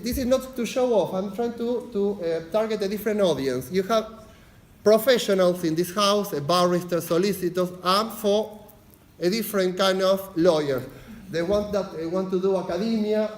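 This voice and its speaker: Fast-talking Argentine lecturer in an echoey hall. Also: male voice, high voice. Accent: Argentine Spanish. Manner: fast-talking, frequent "yes?" check-ins, filler "uh". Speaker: university lecturer, unit leader. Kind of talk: lecture introduction. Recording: echoey lecture hall, faint background noise.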